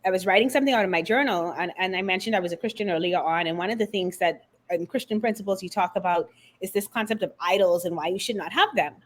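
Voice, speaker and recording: Animated woman from the Bahamas. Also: medium pitch, fast, hardly any pauses. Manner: animated, expressive storytelling with faith references. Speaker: guest author and confidence coach. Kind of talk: conversational interview.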